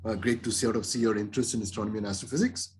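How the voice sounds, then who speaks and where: A man with an Indian English accent, hosting an online class as lecturer and speaking in a halting way with many fillers, over a video call. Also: fairly low pitch, fairly even intonation, darkish tone, husky. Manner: halting, lots of uh and restarts.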